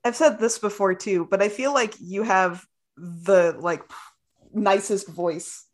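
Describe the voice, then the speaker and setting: A woman's voice: mid-range voice, slow pace. Hesitant, self-deprecating young-sounding woman. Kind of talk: casual chat.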